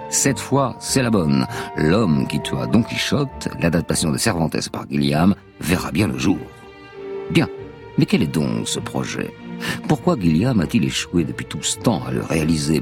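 A man's voice: extremely low pitch, gravelly. A man narrating a scripted radio documentary as its host, telling the story dramatically and posing rhetorical questions. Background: music under the voice.

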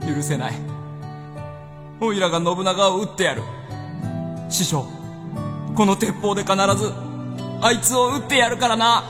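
Man acting a high-pitched, fervent young gunner. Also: moderate pace, pauses often, over music, close to an everyday voice. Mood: vengeful. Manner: earnest, fervent, boyish. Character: hot-headed, earnest young male gunner, devoted to his master. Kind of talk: Japanese radio drama acting.

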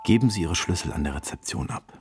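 A woman giving scripted narration, addressing the listener formally in a measured way. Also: a bass voice, expressive. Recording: loud music under the voice.

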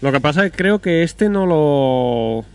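A man's voice: a little high, expressive, a little slow, no echo. Blunt, skeptical man. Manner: blunt, swears, skeptical, laughs often. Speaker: podcast co-host. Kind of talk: casual gaming chat.

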